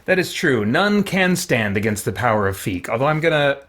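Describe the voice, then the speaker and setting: A man hosting a livestream in a casual, rambling, thoughtful way, often pausing mid-sentence. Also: mid-range voice, sing-song, fairly bright tone. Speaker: livestream host.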